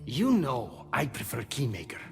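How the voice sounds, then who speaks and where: An actor voicing a quick-witted, loyal messenger god in a mythic video game. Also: male voice, mid-range voice, wildly swooping pitch, gravelly, full character voice. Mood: wary. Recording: long reverb, faint music behind.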